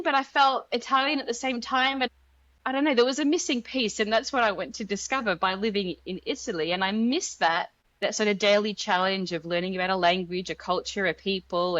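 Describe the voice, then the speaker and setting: A woman's voice: medium pitch, a bit bright, dry recording, muffled recording. A woman from Australia with an Australian accent, chatting informally as an interview guest and telling personal stories. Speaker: interview guest, language guide founder. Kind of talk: casual personal storytelling in an interview.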